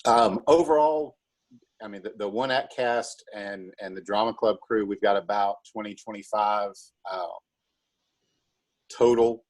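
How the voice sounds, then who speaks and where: A man with a calm voice, giving casual, explanatory interview answers like a teacher. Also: lowish voice, measured pace. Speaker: high school math teacher and drama director.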